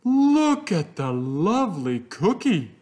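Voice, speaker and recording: Man putting on an exaggerated, excitable storybook schoolboy. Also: high voice, slow, full character voice. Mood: delighted. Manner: exaggerated, loud, spluttering. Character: excitable schoolboy in a picture book.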